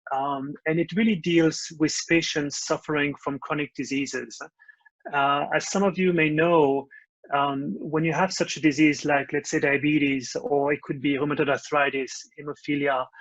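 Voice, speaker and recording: A man's voice: fairly high pitch, level tone, normal speed, a bit bright, no room sound, dull audio. A man from France with a French accent, earnestly explaining his company's needle-free device, with many fillers. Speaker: company founder. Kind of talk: webcast pitch.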